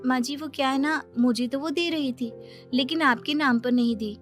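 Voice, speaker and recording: A woman's voice: high-pitched, normal speed, soft background music, lightly acted. A woman voicing an innocent, polite young daughter-in-law in a story, speaking matter-of-factly. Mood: earnest. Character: meek, guileless young daughter-in-law who always blurts the truth.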